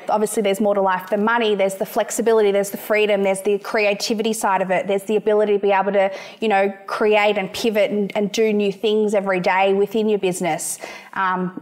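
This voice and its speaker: Casting: young Australian businesswoman, self-assured, musing, loose conversational delivery. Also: medium pitch. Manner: confident, reflective, many ums and "you know". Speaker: entrepreneur guest. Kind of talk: interview answers.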